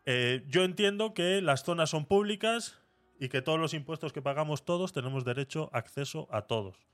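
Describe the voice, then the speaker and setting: Host de españa, acento castellano, habla suelto y dudando un poco, tono de charla. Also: male voice, a little high, normal speed, fairly bright tone, soft background music. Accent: Castilian Spanish. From Spain. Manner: conversational, hesitant, fillers. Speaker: podcast host. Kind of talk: live podcast chat.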